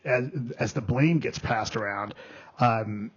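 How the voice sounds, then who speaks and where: Halting male film critic, dull audio. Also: lowish voice. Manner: halting, many ums and restarts. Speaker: film critic, podcast co-host. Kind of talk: casual podcast review chat.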